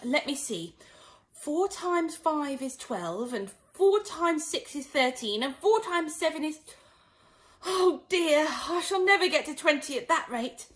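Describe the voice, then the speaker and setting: A woman's voice: high-pitched, lively intonation, measured pace, voice pushed up. A woman voicing an earnest, muddled young girl who frets and scolds herself in a storybook reading. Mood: puzzled, anxious.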